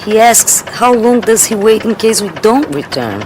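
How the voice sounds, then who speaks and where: An actress playing an Amazon-born guide in an audio drama, wistful, playful and sincere, with a Brazilian accent. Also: female voice, a little high, sing-song, normal speed, noisy background. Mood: helpful. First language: Portuguese.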